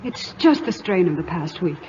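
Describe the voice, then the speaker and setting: A woman's voice: fairly low pitch, very animated, normal speed. A poised, strong-willed noblewoman, formal and pointed in speech. She performs in old-time drama style. The muffled recording carries long reverb and faint noise. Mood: subdued. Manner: formal, pointed, self-possessed. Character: poised, headstrong English noblewoman aboard ship.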